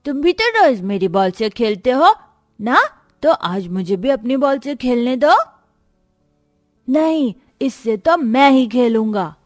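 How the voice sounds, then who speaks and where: A woman's voice: on the high side, animated, slow, dry recording, clean studio sound, faint music behind. A woman playing a whiny, insistent small child in a cartoon story. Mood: squabbling, insistent.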